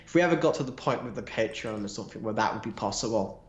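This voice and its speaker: A man's voice: lowish voice, lively intonation, quick pace. Rambling man chatting in an echoey room. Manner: rambling, restarting sentences. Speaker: livestream co-host. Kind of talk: casual livestream chat.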